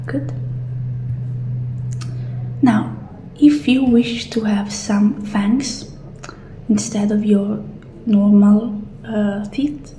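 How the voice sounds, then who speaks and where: A woman doing a soft, partly whispered ASMR roleplay as a gentle attendant walking a client through options. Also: medium pitch. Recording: roomy echo, faint background noise.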